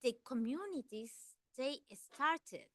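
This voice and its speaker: A woman's voice: high-pitched, lively intonation, takes it slowly, no room sound. Earnest Ecuadorian Spanish-accented woman, dry. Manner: earnest, searching for words with fillers and restarts. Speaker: guest speaker, environmental campaigner. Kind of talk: academic seminar talk and Q&A.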